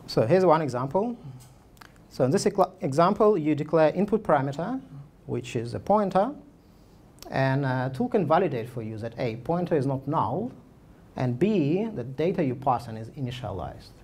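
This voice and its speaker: A man's voice: a little high, sing-song, moderate pace, darkish tone. A man in his thirties giving a conference talk with slides, speaking casually with many fillers and restarts. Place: meetup room, indoors.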